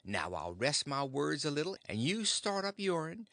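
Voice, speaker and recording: A man voicing an excitable, fretful farm wife in an audiobook, gushing and breathless. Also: on the high side, a little slow, creaky, no echo, studio quality, full character voice. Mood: eager. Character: excitable fussing middle-aged farm wife. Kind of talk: audiobook character voice.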